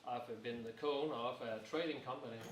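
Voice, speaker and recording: A man's voice: medium pitch, steady tone, a little fast. A man of fifty, a business consultant introducing himself in a jokey, easygoing way. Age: 50s. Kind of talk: casual self-introduction. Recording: echoey room, distant mic, crowd noise.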